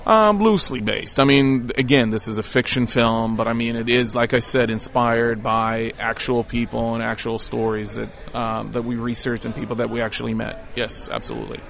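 A man's voice: mid-range voice, normal speed, gravelly, hardly any pauses, fairly bright tone. A man from Israel speaking as a film director in an interview, giving conversational answers.

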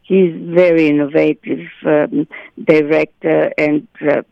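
A woman actress talks animatedly as an interview guest, her voice carrying a gravelly edge. She often stops and restarts her phrases. The sound is dry and a bit muffled. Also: low-pitched, flat delivery, takes it slowly, no room sound. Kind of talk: interview chat.

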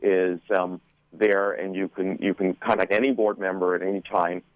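A man's voice: deep voice, level tone, moderate pace, no echo. A man giving informative answers as an interview guest, over a narrow, tinny phone-like line. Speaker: school board member, guest.

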